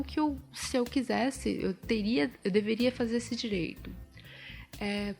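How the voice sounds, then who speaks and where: A woman guest explaining a topic informally, pausing often with fillers as she thinks aloud. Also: fairly high pitch, vocal fry, a little mellow.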